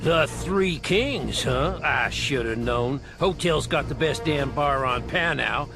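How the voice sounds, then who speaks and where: A man playing a folksy, gung-ho American agent in a video game, with a gravelly voice and an American accent. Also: fairly high pitch, slow pace. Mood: wry, easygoing. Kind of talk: video game cutscene acting. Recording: music behind the voice.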